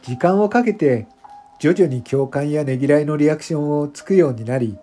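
A man's voice: fairly high pitch, moderate pace, warm tone, close to an everyday voice. A man playing a self-conscious factory worker turned popular voice streamer, narrating a confessional radio drama monologue. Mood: confiding, modestly proud. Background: background music.

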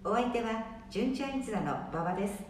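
Lady hosting radio, reading her script, highish voice, very echoey, mic kinda far. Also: expressive, a little fast, darkish tone. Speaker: radio show host. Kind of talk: scripted introduction and sign-off. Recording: very echoey room, distant mic, faint noise.

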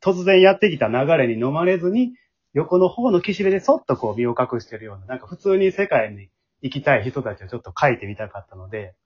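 A man with a husky voice, hosting a casual round-table chat. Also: mid-range voice, big pitch swings, fairly quick. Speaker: host and drama group director. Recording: dull lo-fi audio.

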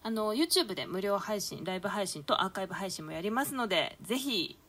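A woman with a bright, forward voice hosts a relaxed round-table radio talk. She speaks in a chatty, encouraging way, steering the conversation and giving announcements. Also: mid-range voice, brisk. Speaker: radio show host.

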